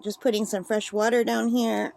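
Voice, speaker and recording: Chatty, gushing woman, slightly gravelly. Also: a little high, level tone, moderate pace, darkish tone, dry recording. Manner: chatty and gushing, thinks aloud. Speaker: art supply reviewer. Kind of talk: casual swatching demo.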